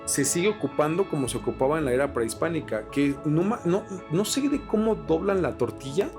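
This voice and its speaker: A man's voice: on the high side, animated, fairly quick. A Mexican man hosting a podcast, explaining things casually with slang and swearing. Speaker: podcast host. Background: music with guitar.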